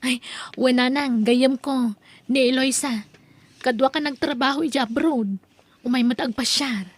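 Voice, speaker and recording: A woman's voice: sits high, unhurried, no echo. A woman with an Ilocano accent acting as a guarded, aloof wife and mother in an audio drama. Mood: polite.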